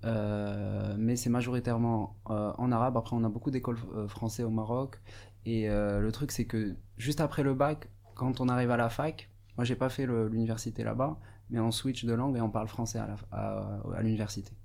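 A man's voice: mid-range voice, fairly even intonation, a little fast, creaky, darkish tone. A young man from Morocco whose first language is Arabic, speaking as a radio guest in a casual, hesitant, thoughtful way with many fillers and restarts. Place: radio studio.

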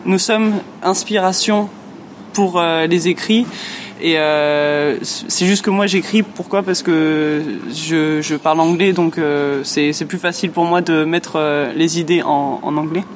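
A woman's voice: low voice, fairly even intonation, a little slow, slight background noise. A woman whose first language is English, a musician answering interview questions hesitantly, with many fillers and restarts. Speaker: musician in a duo. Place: lives in France.

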